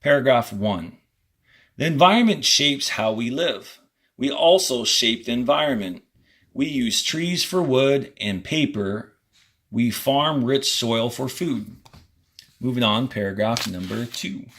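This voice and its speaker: Male elementary teacher, mid-pitched, giving deliberate instructional read-aloud with short directives for young pupils. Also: expressive, fairly bright tone, slightly rough. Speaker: fourth-grade teacher.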